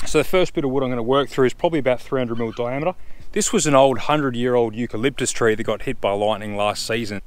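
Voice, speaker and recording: Chatty Australian man, blunt and casual. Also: mid-range voice. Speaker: camping vlogger. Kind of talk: casual outdoor gear talk. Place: outdoors in open bushland, and in the back of a truck canopy. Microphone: camera held at arm's length.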